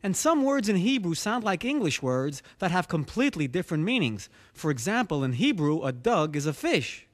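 A man's voice: high voice, very animated, normal speed, few pauses. A man voicing a friendly bearded cartoon cowboy who patiently explains things and breaks into cheerful song. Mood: patient, amused. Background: loud music.

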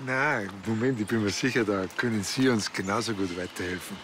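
A man's voice: lowish voice, brisk, noisy background, close to an everyday voice. An actor playing a bantering, naive village policeman in a TV drama, with a Bavarian accent.

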